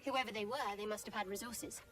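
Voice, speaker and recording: An actress playing a secretive young adventurer in a video game, with a dry, measured, cagey manner. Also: female voice, mid-range voice, fairly even intonation, far from the mic, music in the background, lightly acted. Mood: wary, intrigued. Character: guarded, wry, secretive young woman adventurer. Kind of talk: video game character acting.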